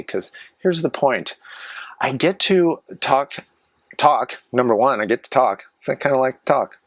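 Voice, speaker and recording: A man in his forties talks off the cuff in a small garage office, drifting from one aside to the next and poking fun at himself. His voice sits at an ordinary middle pitch, and the sound is close and dry with no room echo. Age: 40s. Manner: chatty, self-mocking, wandering asides. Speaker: vlogger and live streamer. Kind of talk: rambling casual monologue to camera.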